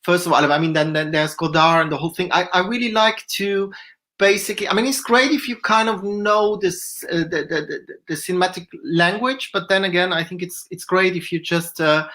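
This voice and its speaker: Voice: mid-range voice, fairly quick, bright, forceful tone. A filmmaker guest answering festival questions, searching for words and rambling through restarts.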